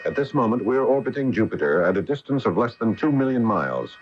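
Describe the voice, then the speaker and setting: An actor playing a stubborn, mature astronaut husband in a radio drama, with a firm, dramatic delivery. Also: male voice, mid-range voice, big pitch swings, fast talker, lightly acted. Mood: determined. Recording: noisy, muffled AM radio broadcast recording.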